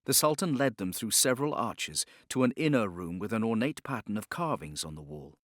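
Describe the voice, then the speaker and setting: A man reading a novel as an audiobook narrator, in a measured, solemn, dramatic storytelling style. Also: on the low side, sing-song, fairly bright tone, no room sound, studio quality.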